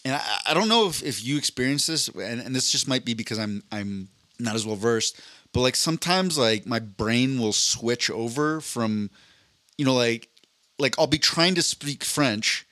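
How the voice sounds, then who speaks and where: Podcast co-host, male, mid-pitched voice, jokey and profane, close dry mic. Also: normal speed, bright, forceful tone, dry recording. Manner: jokey, swears freely, reads listener stories aloud. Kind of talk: casual podcast chat.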